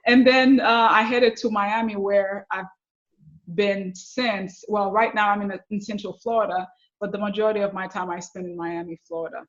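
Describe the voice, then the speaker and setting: A middle-aged woman with a Haitian accent telling personal stories as an interview guest, often halting and repeating words. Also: medium pitch, fairly even intonation. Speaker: podcast guest, success coach. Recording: slightly dull, lo-fi connection.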